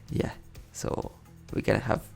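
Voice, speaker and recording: A man's voice: takes it slowly, soft background music. A young man giving casual, rambling commentary over a city-building game, correcting himself and chuckling often. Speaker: let's play host.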